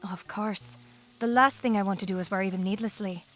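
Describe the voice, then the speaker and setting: A woman's voice: medium pitch, steady tone, fairly quick, fairly bright tone, lightly acted. A woman playing a soothing, attentive wife in an audio drama, polite and reassuring in manner. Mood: politely concerned. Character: soothing, attentive new wife with veiled intentions.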